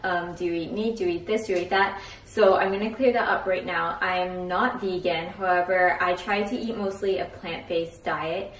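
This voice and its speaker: A woman's voice: fairly low pitch, fairly even intonation, moderate pace, hardly any pauses, slight background noise. A young woman talking to a handheld camera in a casual vlog, chatty and enthusiastic with frequent fillers. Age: young adult. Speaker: vlogger. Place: small home kitchen, indoors. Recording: music bed underneath. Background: background music.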